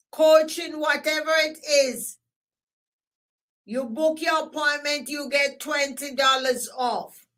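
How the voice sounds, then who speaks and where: A woman with a Jamaican accent hosting a live stream, talking loudly and emphatically and repeating herself as she signs off. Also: high voice. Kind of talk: casual live stream sign-off.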